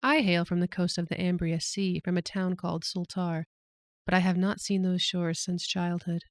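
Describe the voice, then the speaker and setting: A man's voice: sits high, steady tone, moderate pace, dry recording, pitched above the performer's own voice. Young woman adventurer, eager and wry, raised voice, male narrator, dry.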